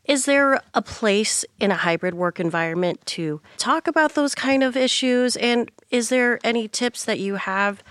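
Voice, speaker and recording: A smooth-voiced woman interviewer. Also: on the high side, a bit bright, no echo. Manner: measured, asks layered questions. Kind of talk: interview questions.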